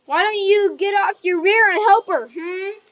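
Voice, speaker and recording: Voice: extremely high pitch, measured pace, a bit bright. A performer playing a mocking schoolchild bully in a radio play, sneering and taunting. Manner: sneering, sing-song taunting. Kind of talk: stage radio play acting.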